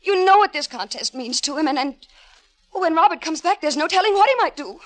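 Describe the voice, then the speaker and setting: An actress playing a volatile, scheming jilted wife in a melodramatic 1950s radio drama, with dramatic pauses and repetitions. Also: female voice, very high-pitched, lively intonation, fairly bright tone. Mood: anxious, pleading. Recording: vintage 1950s radio broadcast recording.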